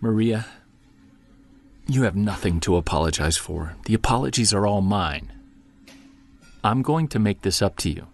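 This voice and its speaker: Man acting earnest monster investigator, low-pitched, fry-edged voice, wry, driven, naturalistic audio drama. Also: animated, normal speed, pauses often. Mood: tender, hurt. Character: driven, self-deprecating male amateur Bigfoot investigator.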